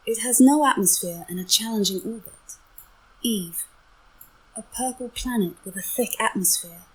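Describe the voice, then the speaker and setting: A synthetic woman's voice with a husky texture, serving as an AI companion in a game. She explains things in a measured, informative way, as if reading from a script. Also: fairly high pitch, a little mellow, poor audio. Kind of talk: informative scripted-sounding narration.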